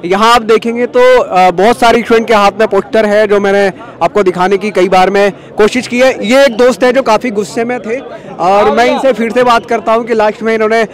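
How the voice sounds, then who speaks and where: A man reporting from a street protest, putting quick questions to students. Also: high voice, steady tone. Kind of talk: on-the-spot protest interviews. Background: crowd of protesting students.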